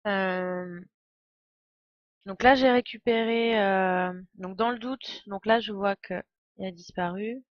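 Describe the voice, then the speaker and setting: A woman's voice: mid-range voice, slow pace, dry recording, muffled recording. A woman giving casual live game commentary, explaining as she goes with hesitations. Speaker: game streamer.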